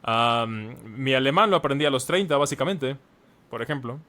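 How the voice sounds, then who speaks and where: A man's voice: mid-range voice, animated, normal speed, pushed and bright. A man in his thirties, a language teacher and author, talking as a podcast guest and explaining at length with plenty of examples. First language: Spanish. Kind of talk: casual podcast conversation.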